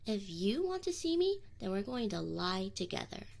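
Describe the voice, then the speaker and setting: A woman's voice: mid-range voice, big pitch swings, creaky, a little mellow. A woman playing a young tsundere succubus in an intimate ASMR roleplay, prickly and defensive, with affection and neediness showing through. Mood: earnest, tender. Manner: defensive, teasing, halting when admitting feelings. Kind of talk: scripted ASMR roleplay acting, intimate direct address.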